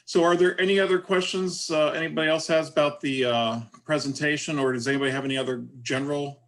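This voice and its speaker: A man's voice: a little high, steady tone, quick pace, fairly bright tone. A man chatting casually as a workshop moderator on an online video call. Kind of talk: casual chat.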